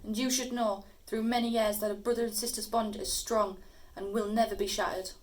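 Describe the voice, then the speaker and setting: A woman's voice: medium pitch, flat delivery, fairly quick, close to an everyday voice, rough recording. A woman playing a worried but defiant sister in an audio drama, with an earnest, pleading delivery.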